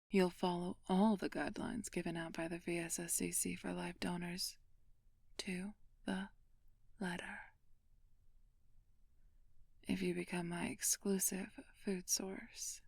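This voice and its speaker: A woman playing an ancient vampire thief in an ASMR roleplay, flirtatious and imperious, speaking in a breathy, teasing, commanding whisper. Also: on the low side, darkish tone, dry recording, full character voice. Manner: slow, teasing, commanding, haughty.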